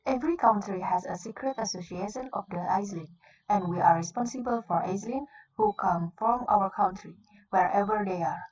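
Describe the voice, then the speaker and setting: A woman's voice: medium pitch, darkish tone, natural voice. Woman acting formal society leader, distant dull sound. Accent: Indonesian. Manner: formal, explanatory. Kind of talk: radio drama, scripted address.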